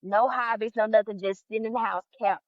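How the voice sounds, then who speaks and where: A woman's voice: a little high, fairly even intonation, brisk, no room sound, phone-quality sound. A woman co-hosting a casual relationship debate, challenging the others with pointed questions. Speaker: podcast co-host.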